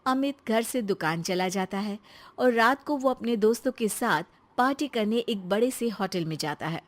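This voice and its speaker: A woman narrating a children's cartoon story in a plain, steady way. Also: mid-range voice, normal speed.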